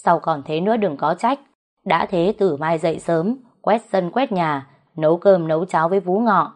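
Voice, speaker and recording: A woman's voice: on the low side, moderate pace, lightly acted. A woman voicing an older rural matriarch who scolds and gives stern orders in a story narration. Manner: scolding, commanding.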